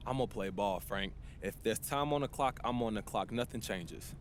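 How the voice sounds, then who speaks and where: A man's voice: lowish voice, normal speed, a little hiss or hum, close to an everyday voice. A young man playing a cocky rookie basketball star in a game's interview scenes, casual and self-assured. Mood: easygoing, confident. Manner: self-assured, laid-back. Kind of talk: casual press interview acting.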